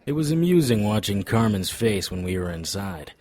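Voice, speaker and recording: A man's voice: fairly low pitch, big pitch swings, normal speed, a little mellow. A man playing a wry, world-weary paranormal investigator in an audio drama, narrating and talking with dry wisecracks. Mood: brooding narration. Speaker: lead character and narrator.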